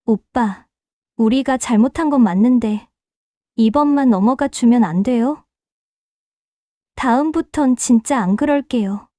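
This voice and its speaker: A man's voice: very high voice, unhurried, pauses often, no room sound, pitched above the performer's own voice. A male narrator voicing a timid young woman intern who pleads and trails off. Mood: pleading. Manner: trailing off, begging softly.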